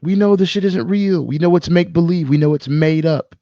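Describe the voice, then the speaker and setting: Deep voiced guy w lots of fry, sweary opinionated podcast talk, stops and starts, kinda dull dry audio. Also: warm tone, no echo. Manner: profane, emphatic, halting with pauses mid-thought. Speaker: podcast co-host. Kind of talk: casual film discussion, opinionated ranting.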